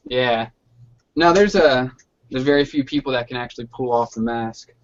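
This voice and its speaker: A man's voice: mid-range voice, animated, measured pace, a little hiss or hum. A man chatting casually in slangy, sweary language over an online hangout call with dull, lo-fi sound. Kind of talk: casual chat. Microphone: online video hangout.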